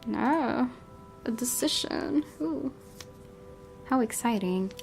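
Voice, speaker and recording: A woman's voice: a little high, animated, slow, a little mellow, soft background music. A woman hosting a gaming video, reading visual novel narration expressively and adding casual asides.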